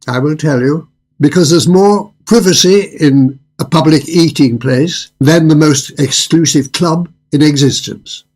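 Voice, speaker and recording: An actor playing a suave, faintly sinister restaurant owner in a radio drama, with a smooth, purring, deliberately polite and husky delivery. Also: male voice, on the high side, darkish tone. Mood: smooth, assured. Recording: music under the voice.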